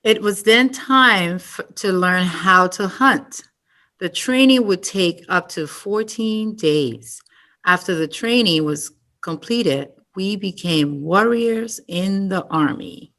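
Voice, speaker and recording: A woman reading a story aloud at story time, slow and careful. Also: medium pitch, fairly bright tone. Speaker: storytime reader. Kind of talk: reading a picture book aloud.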